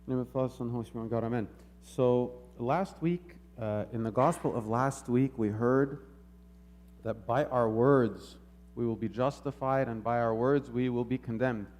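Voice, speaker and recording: Male preacher, mid-pitched voice, reflective explanatory sermon style with halting, repeated phrasing. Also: darkish tone, a little hiss or hum. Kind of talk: sermon, explanatory and semi-extemporaneous.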